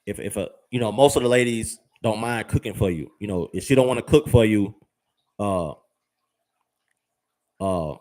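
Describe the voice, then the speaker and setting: A man's voice: on the low side, moderate pace, pauses often, dark tone. A man giving viewers casual travel advice, rambling with fillers and repeated words and using slang. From United States. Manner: uses slang, addresses viewers as fam and y'all. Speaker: travel vlogger.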